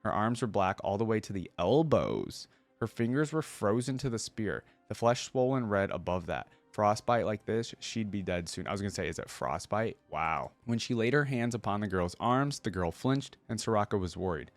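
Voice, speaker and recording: Male reaction host, lower register, casual reader-commentator who thinks out loud, dry recording. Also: animated, normal speed, no room sound. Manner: breaks off to muse aloud and explain. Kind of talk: reading a story aloud with casual commentary.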